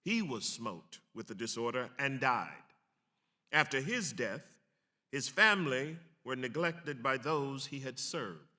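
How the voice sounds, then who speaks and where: A man's voice: mid-range voice, expressive, unhurried, pushed and bright. A man giving a formal, emphatic dramatic reading of a historic account. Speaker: actor reading aloud. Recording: long hall reverb.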